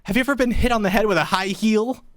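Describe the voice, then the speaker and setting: A woman's voice: medium pitch, fast talker, no echo, close to an everyday voice. A woman playing a feisty, sarcastic young film actress in a screwball radio play, with a sardonic, combative manner. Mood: indignant.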